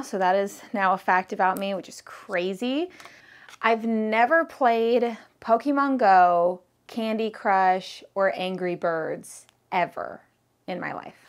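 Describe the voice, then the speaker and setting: A thirty-year-old woman vlogging about her candle business in a relaxed, rambling chat, full of fillers and "like"s while she works with her hands. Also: fairly low pitch. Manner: chatty, rambling with fillers and likes. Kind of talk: casual chat to camera.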